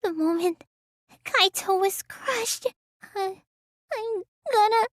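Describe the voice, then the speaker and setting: A woman's voice: extremely high pitch, takes it slowly, no echo, clean studio sound. A woman voicing a petite, whiny teenage girl mage in a video game, with a childlike voice and a sluggish manner. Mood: dismayed. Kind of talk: English video game voice acting.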